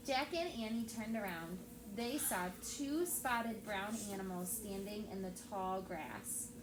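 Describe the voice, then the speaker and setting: A woman teacher reading a story aloud to children in an expressive storytelling style. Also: medium pitch, a little slow. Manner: expressive, acts out dialogue. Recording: echoey room with steady background noise.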